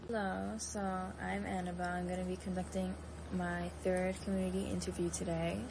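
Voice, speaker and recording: A woman's voice: lowish voice, level tone, normal speed, gravelly, background noise. A young adult woman working as a student interviewer, reading out prepared questions and introductions.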